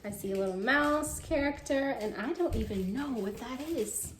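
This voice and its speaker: A woman teacher reading a picture book aloud to children, expressive and encouraging, asking her young listeners questions. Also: fairly high pitch, moderate pace. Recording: roomy echo with faint background noise.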